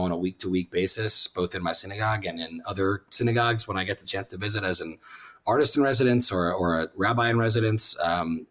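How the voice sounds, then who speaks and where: A low male voice in a conversational interview. He speaks as a rabbi and prayer leader, earnest and reflective, often pausing and circling back to rephrase. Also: fairly bright tone.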